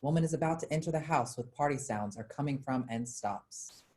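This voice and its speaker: A woman's voice: extremely low pitch, fairly quick, mellow. A woman narrator reading stage directions as scripted narration.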